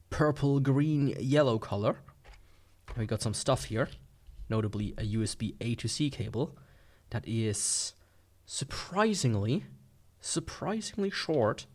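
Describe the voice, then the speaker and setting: A man's voice: medium pitch, a little mellow. A man with a mid-range voice talks through a product unboxing in an informal way. His delivery is sarcastic, with playful overstatement as he comments like a gadget reviewer.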